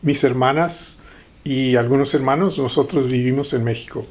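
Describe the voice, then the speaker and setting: A middle-aged man from the Texas-Mexico border, a guest in an interview, speaking with hesitant pauses and fillers. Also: mid-range voice, darkish tone. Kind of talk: interview conversation.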